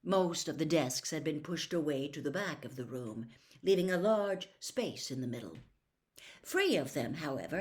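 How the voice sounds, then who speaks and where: A woman reading a storybook aloud as an expressive narrator. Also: sits low. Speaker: audiobook reader.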